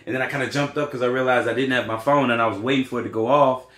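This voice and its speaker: A man's voice: mid-range voice, steady tone, racing, a bit bright. A man, chatting casually on a podcast, rambling with many fillers and restarts. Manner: rambling, hesitant, lots of fillers. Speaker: podcast co-host. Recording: roomy echo.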